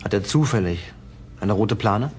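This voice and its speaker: An actor playing a matter-of-fact police investigator in a crime radio play, terse and factual. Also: male voice, on the low side, expressive, measured pace, slight background noise. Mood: probing. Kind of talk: German crime radio drama acting. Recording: loud music behind the voice.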